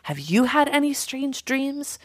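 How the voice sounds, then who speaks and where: A woman's voice: fairly low pitch, animated, normal speed, creaky, slight background noise. A woman voicing an indignant, suspicious female crow in a storybook, speaking in an emphatic, earnest way. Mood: urgent.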